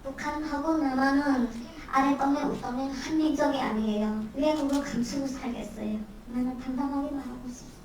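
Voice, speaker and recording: A woman's voice: sits high, fairly even intonation, rapid-fire, few pauses, a little mellow, slight background noise. A woman from North Korea, the guest speaker at a Q&A, speaking firmly and emphatically. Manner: emphatic, firm. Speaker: guest speaker, former nurse. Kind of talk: speaking Korean at a Q&A through an interpreter. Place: university lecture hall. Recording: echoey hall from far off.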